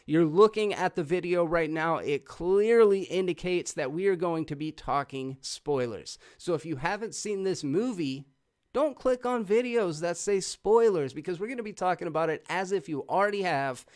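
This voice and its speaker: A man's voice: sits high, moderate pace, a little mellow. A man hosting a movie discussion show in a casual, chatty, informal way. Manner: informal, swearing. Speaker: host of a movie review show.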